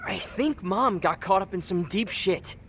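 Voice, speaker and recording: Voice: medium pitch, lively intonation, measured pace, a bit bright, a little hiss or hum. An actor playing a cheeky but earnest teenage boy in a video game dub, talking in offhand, youthful slang. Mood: earnest, worried.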